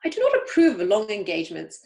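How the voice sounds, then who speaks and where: A woman's voice: mid-range voice, wildly swooping pitch, quick pace, vocal fry, darkish tone, low-quality recording. A woman playing a snobbish, imperious older upper-class aunt in an amateur play reading, haughty and emphatic, stumbling now and then. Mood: lofty, pronouncing. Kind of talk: amateur play read-through.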